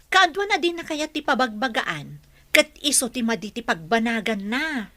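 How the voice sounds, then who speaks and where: A woman's voice: fairly high pitch, big pitch swings, moderate pace, bright, forceful tone, no echo. A woman playing a sympathetic village confidante in a Filipino radio drama, offering advice.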